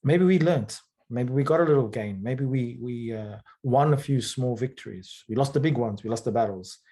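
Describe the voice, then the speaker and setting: A man from the UK, speaking thoughtfully in a conversational interview, often pausing with fillers and checking in with "right?". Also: medium pitch, warm tone. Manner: thoughtful, hesitant, with frequent fillers and rhetorical "right?". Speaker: author and guest.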